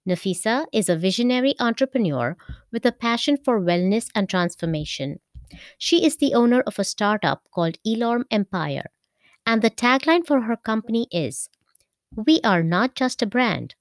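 A woman hosting a podcast interview in an even, mid-pitched voice. She paces her introductions with care and slows down on the words she stresses. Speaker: podcast host.

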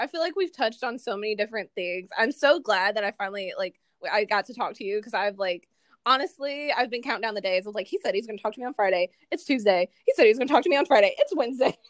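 A young American woman in casual conversation, talkative and quick to laugh at herself. Her voice sits a bit high, and the recording is dry with no room sound. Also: animated, no echo. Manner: chatty, laughs often, self-deprecating. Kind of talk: casual chat.

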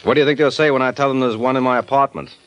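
An actor playing a wisecracking private detective in a 1940s radio drama, sardonic and quick with a comeback. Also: male voice, mid-range voice, fairly even intonation, fairly bright tone, clear, resonant tone, lo-fi sound, soft background music, close to an everyday voice. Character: wry tough male private detective.

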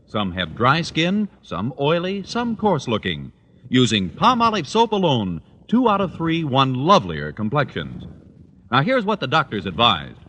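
A man delivers an old-time American radio advertisement with punchy salesmanship. His bright, forceful voice sits a little high and swings widely in pitch, with faint hiss from the vintage recording. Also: huge pitch swings, moderate pace. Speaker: commercial announcer.

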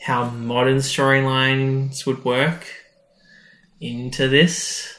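A man's voice: mid-range voice, flat delivery, takes it slowly, fairly bright tone, reverberant. A man co-hosting a podcast, casually recapping a story and acting out people's reactions as he retells it. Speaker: podcast co-host. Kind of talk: casual episode recap chat.

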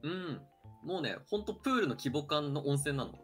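A man's voice: a little high, animated, brisk, a bit bright, breathy edge, faint music behind. A young man co-hosting a radio show in casual, friendly-register conversation. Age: young adult. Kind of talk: casual chat.

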